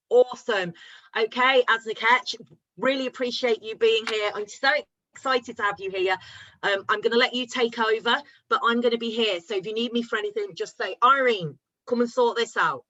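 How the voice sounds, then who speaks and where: A woman's voice: a little high, a little fast, pushed and bright, highs cut off. A woman hosting a video call, chatty and upbeat, fumbling with screen sharing. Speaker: host.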